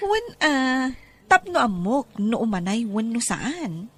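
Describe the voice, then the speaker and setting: A woman with an Ilocano Filipino accent playing an excitable, emotional young bride-to-be in a podcast comedy drama. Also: fairly high pitch, slow pace, breathy edge, heavily acted. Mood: excited.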